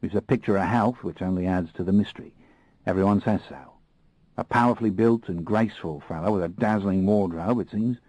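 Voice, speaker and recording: A man voicing a tall, alert, suspicious Australian fixer with an Australian accent and a brisk, businesslike manner. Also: low-pitched, a little mellow, no room sound, low-quality recording.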